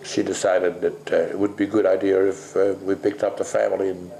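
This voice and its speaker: Gravelly-voiced older man in an echoey room. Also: low voice, little pitch movement, warm tone. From Netherlands. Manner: blunt, salty, rambling with many pauses. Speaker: interviewee. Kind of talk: casual interview. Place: kitchen at home, indoors.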